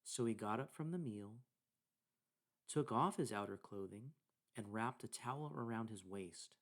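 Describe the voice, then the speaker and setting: A man leading a guided meditation, speaking deliberately in short phrases with pauses between them. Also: fairly low pitch, lively intonation, fairly bright tone. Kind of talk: guided meditation narration with scripture reading.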